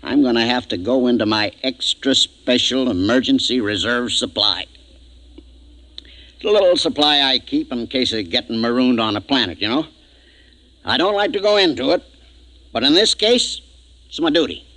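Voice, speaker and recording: A man's voice: medium pitch, animated, moderate pace, sharp tone, rough recording. An actor playing a jovial, hard-drinking spaceship communications man in a 1950s science fiction radio drama, with a folksy, rambling manner. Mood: tipsy, chummy. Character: boozy, jovial spaceship communications man, loyal old crewman.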